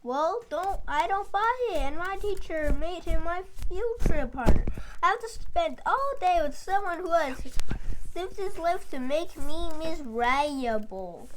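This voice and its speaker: Woman playing a high-voiced, slow-talking anxious schoolboy puppet. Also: lively intonation, background noise. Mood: grumbling. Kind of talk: scripted puppet-show dialogue.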